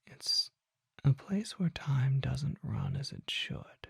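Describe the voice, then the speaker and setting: An ASMR performer voicing a mysterious, suave vampire apothecary merchant in a breathy whisper, with measured, formal and coy phrasing. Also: mid-range voice, fairly bright tone, no echo, studio quality, heavily acted. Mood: hushed, intrigued. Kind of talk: ASMR roleplay, soft whispering.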